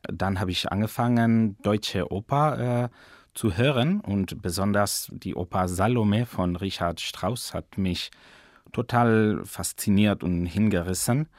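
This Spanish-accented man in his forties speaks German as a second language, earnest and enthusiastic. His voice sits somewhat low and he takes his time, unhurried and deliberate. The sound is close and dry, with no room echo. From Spain. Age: 40s. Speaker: German teacher. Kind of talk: personal portrait interview.

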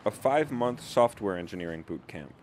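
Male reporter narrating news, low voice. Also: slight background noise. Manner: measured, even delivery.

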